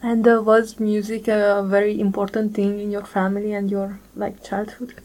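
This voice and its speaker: Flat-voiced woman interviewer. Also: medium pitch, moderate pace, poor audio. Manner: hesitant, fills with "uh". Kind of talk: casual interview questions.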